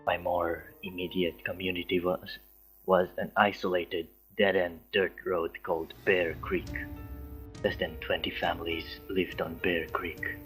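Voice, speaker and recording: A man's voice: low voice, little pitch movement, measured pace, fairly bright tone, poor audio. A man reading a story aloud as an amateur, stumbling over words and dropping in casual asides. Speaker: story reader. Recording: music behind the voice. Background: background music.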